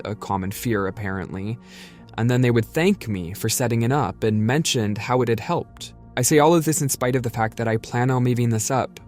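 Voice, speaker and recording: A man reading a written message aloud in a plain, explanatory way. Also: fairly low pitch. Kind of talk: scripted reading of an email. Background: music.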